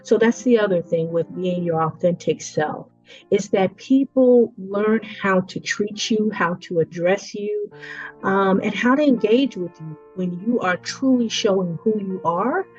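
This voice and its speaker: A woman in her forties giving an earnest, reflective personal talk, slowing down to stress her points. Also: medium pitch, darkish tone. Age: 40s. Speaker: education leader. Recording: dull lo-fi audio with faint music.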